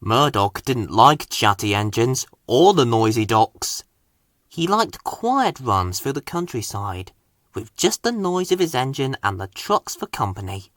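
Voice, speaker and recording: Children's story narrator, male, measured pacing, wide lively intonation, bright voice. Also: mid-range voice, no echo, clean studio sound. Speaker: storybook reader.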